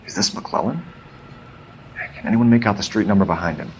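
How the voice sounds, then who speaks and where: An actor playing a probing, businesslike police detective in a 1940s video game, with a dry, clipped delivery. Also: male voice, fairly low pitch, a little fast, a little hiss or hum, natural voice. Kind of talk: cinematic game dialogue.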